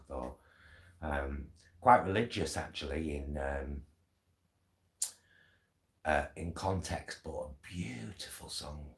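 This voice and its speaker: A British man chatting casually in a rambling, hesitant way, as a vlogger talking at home. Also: very deep voice. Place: indoors at home. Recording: echoey room.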